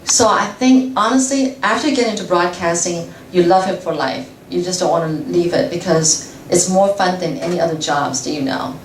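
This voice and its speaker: A woman's voice: fairly low pitch, normal speed, hardly any pauses. A woman speaking as a guest to a class, chatty and casual, laughing often and restarting her sentences. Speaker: guest speaker, radio journalist. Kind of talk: talk answering audience questions. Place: lecture room. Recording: echoey room from far off, faint noise.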